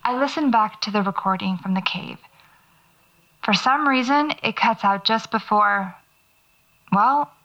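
A woman's voice: medium pitch, a bit bright. An actress playing a nervous, wry horror writer who records her eerie explorations on a lo-fi tape, muttering to herself in an audio drama. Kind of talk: audio fiction acting, recorded field diary. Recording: dull lo-fi cassette tape sound.

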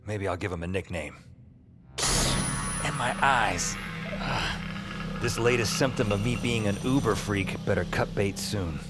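An actor playing a wry, world-weary hotline worker, delivering slangy deadpan lines and narration in an audio drama. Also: male voice, fairly low pitch, measured pace, pauses often, loud music behind the voice, close to an everyday voice. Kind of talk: audio drama dialogue and first-person narration. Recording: noisy ambience behind the voice.

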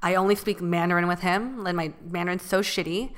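A woman's voice: fairly low pitch, fairly even intonation, a little fast. A young woman co-hosting a podcast, chatting casually and filling her talk with "like". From California. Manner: chatty, fills with "like" often. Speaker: podcast co-host.

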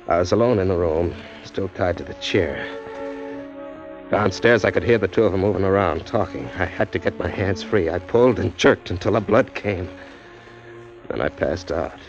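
An actor playing a tough young drifter who narrates his own story in a hard-boiled, slangy manner, in a 1940s radio drama on a muffled old broadcast. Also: low-pitched. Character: tough young male drifter, wisecracking, smitten. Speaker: narrator and lead.

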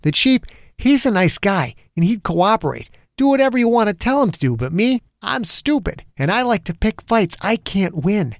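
A man doing a gruff, profane old small-town detective. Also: sits high, lively intonation, normal speed, no room sound, studio quality, voice pushed up. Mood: confrontational. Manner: blunt, profane, confrontational. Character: gruff older male small-town police detective, combative.

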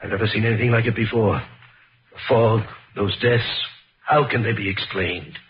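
An actor playing a worried village doctor near despair in an old-time radio drama, grave and formal. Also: male voice, lowish voice, moderate pace, natural voice. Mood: anxious, baffled. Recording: muffled old radio broadcast, faint noise.

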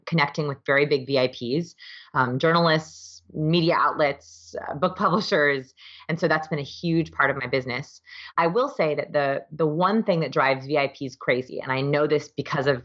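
Female interview guest, low voice, explains things emphatically in a conversational way. Slightly muffled, lo-fi sound. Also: a little fast, fairly bright tone. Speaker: interview guest, author and behavior researcher.

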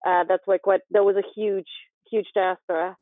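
A woman's voice: mid-range voice, level tone, moderate pace, no echo. A young woman from southern Ukraine, chatting as an interview guest with lots of restarts and fillers. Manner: hesitant, full of restarts and fillers. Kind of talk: casual interview chat. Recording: dry, phone-like line.

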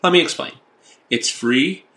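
A man's voice: mid-range voice, unhurried, gravelly, bright, forceful tone. Upbeat man reading an ad. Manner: upbeat, polished. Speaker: ad announcer. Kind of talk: scripted ad read.

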